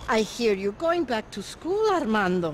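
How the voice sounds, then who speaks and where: A woman's voice: on the high side, very animated, normal speed, a little hiss or hum. An actress playing a worried middle-aged mother in a video game, nagging and affectionately scolding her grown son. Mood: worried, chiding. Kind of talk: video game cutscene acting.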